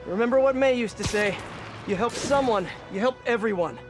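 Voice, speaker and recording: An actor playing an earnest, wisecracking young superhero in a video game, with an American accent and a chatty, wry manner. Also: male voice, extremely high pitch, fairly quick, over music. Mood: warm, encouraging. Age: young adult.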